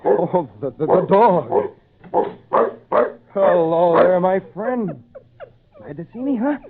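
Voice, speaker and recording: An actor playing a lonely shipwrecked man who tells his own tale in earnest, theatrical, measured phrases with dramatic pauses, in old-time radio style. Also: high-pitched, lively intonation, heavily acted. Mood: tender, relieved. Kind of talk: old-time radio drama acting, first-person narration. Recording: tinny, band-limited old radio recording, faint noise.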